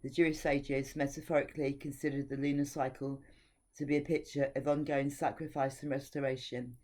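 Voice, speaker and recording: A woman's voice: a bass voice, little pitch movement, brisk, darkish tone. A woman giving an informal devotional teaching, reading scripture aloud and speaking in an earnest, preachy way. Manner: earnest and preachy, with frank opinions.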